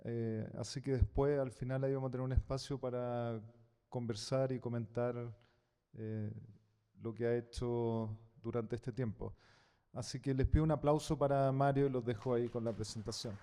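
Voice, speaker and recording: A man hosting a talk who gives a formal, measured introduction with frequent fillers. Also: medium pitch, steady tone, mellow, smooth, long reverb tail. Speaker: host introducing a speaker. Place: lecture hall.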